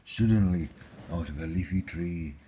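A man with a husky voice, reading a children's fairy tale aloud as a storyteller. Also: sits low, normal speed, mellow.

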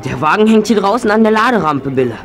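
A young actor playing an excitable, helpful boy in a German children's audio drama. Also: high-pitched, fast. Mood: agitated.

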